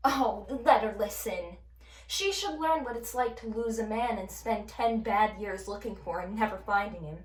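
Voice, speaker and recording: A woman's voice: mid-range voice, normal speed, lightly acted. A woman playing a crude, bitter camp follower. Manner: crude, sardonic. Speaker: actor performing a monologue. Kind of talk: audition monologue. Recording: echoey room, distant mic.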